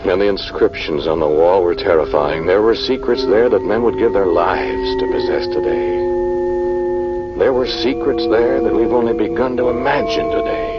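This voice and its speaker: An actor playing a haunted, brooding scientist in a 1940s radio horror drama, speaking in a dramatic, halting way. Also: male voice, deep voice, natural voice. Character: haunted male scientist recounting a terrifying tomb ordeal. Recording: dull vintage radio recording with music behind.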